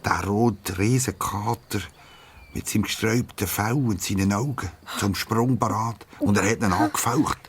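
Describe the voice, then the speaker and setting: A man with a Swiss accent narrating a scripted children's story, using dramatic pauses. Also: lowish voice, background noise. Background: plucked guitar music.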